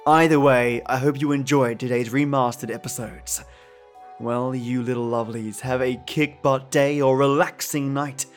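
A man's voice: mid-range voice, fairly even intonation, normal speed, soft background music. A man hosting a podcast, speaking to his listeners in a chummy, affectionate way. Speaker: podcast host. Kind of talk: podcast outro, addressed to listeners.

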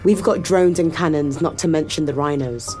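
A woman's voice: low-pitched, normal speed, darkish tone, soft background music, close to an everyday voice. A woman playing a poised, guarded corporate executive in an audio drama, speaking formally and with control. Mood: cool, dry. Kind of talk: scripted audio drama acting.

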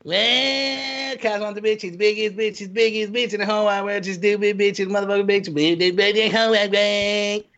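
A man's voice: sits high, fairly even intonation, moderate pace, hardly any pauses, a bit bright, voice pushed up. A man voicing a bratty, crude cartoon boy, singing taunts in a sing-song way. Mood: taunting. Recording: slightly dull lo-fi audio.

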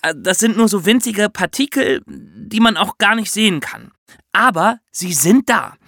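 A man's voice: high-pitched, lively intonation, unhurried, gravelly, bright, forceful tone, no echo, studio quality. A male co-host of a children's podcast, explaining things instructively and addressing young listeners directly.

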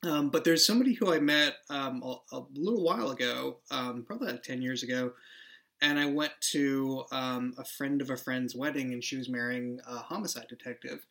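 A man speaking as a creator in a casual interview, explaining and telling anecdotes in a halting way. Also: medium pitch, creaky, fairly bright tone. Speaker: series creator, panel guest.

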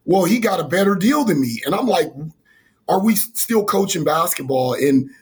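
Hoarse, earnest basketball coach. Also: male voice, high voice, animated, normal speed. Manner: earnest, rambling with restarts. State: losing his voice, hoarse. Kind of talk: interview answers.

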